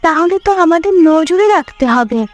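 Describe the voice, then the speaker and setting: Earnest young village wife voiced by a woman, high and worried, cartoon fairy tale with music underneath. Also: expressive, moderate pace. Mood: anxious, questioning.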